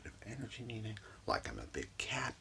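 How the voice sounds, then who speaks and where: A man doing soft-spoken ASMR as an energy healer, murmuring soothingly and repetitively in a breathy, gravelly voice, close up in a small room at home. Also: very animated, brisk, a little hiss or hum. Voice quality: whispery, breathy, low and gravelly. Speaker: ASMR performer giving a reiki session.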